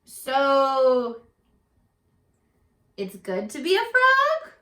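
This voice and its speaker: A woman's voice: high-pitched, huge pitch swings, drawn-out words, bright, forceful tone, reverberant, full character voice, rough recording. A woman voicing a small, stubborn, discontented young frog from a children's storybook, pleading with whiny, eager questions. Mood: curious. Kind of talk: children's storybook read-aloud.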